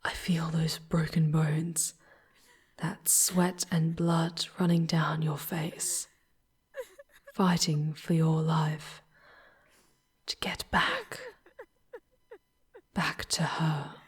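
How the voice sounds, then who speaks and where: Woman doing a soft demon lady for asmr roleplay, super whispery, really slow with long dramatic pauses, sad and tender. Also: low-pitched, flat delivery, heavily acted. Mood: grave, sorrowful. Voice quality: breathy, whispery. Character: charming female demon guide, tender and sorrowful.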